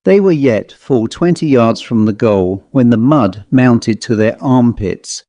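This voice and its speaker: A man narrating a Victorian ghost story as a scripted audiobook, in a measured, dramatic storytelling manner. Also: mid-range voice, expressive, dark tone, no echo.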